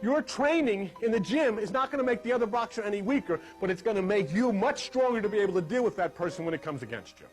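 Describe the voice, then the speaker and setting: A man with a fairly high voice gives a spiritual lesson in an emphatic, conversational way. He explains like a teacher, with faint music running under his words. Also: expressive, fast talker, hardly any pauses. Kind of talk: talk on meditation and scripture. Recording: music laid under the speech.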